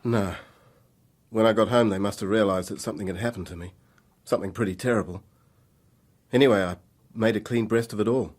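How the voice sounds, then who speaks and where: A man's voice: fairly low pitch, fairly quick, darkish tone, close to an everyday voice. An actor in a radio drama playing a troubled young man on the run, speaking in a hesitant, confessional way. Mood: uneasy, confessional.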